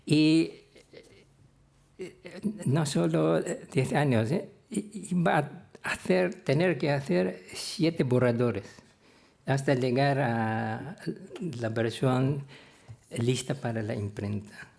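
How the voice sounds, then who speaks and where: Older man, slow and halting delivery. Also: medium pitch, gravelly, darkish tone. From Philippines. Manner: halting, hesitant delivery with frequent long pauses. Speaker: theology professor. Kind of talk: prepared anecdotal talk recalling a career.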